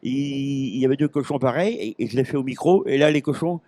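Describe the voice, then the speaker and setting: A man's voice: mid-range voice, fast, warm tone, dry recording. Rambling norman guy in his fifties, lots of restarts, handheld mic at a fair. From Normandy, France. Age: 50s. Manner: rambling, hesitant, full of restarts and fillers. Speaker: interview guest, pig-call champion. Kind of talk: casual chat. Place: busy agricultural fair stand.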